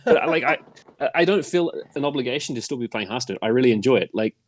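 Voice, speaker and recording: A man's voice: mid-range voice, lively intonation, fast talker. A middle-aged man with a New Zealand accent chatting casually as a podcast guest, in a rambling, self-deprecating way. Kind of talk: casual chat.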